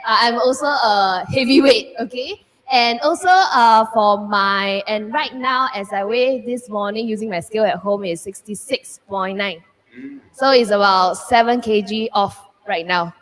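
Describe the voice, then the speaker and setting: A woman's voice: medium pitch, unhurried, fairly bright tone, poor audio. A woman, introducing herself in a hesitant way and often starting over. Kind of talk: casual self-introduction.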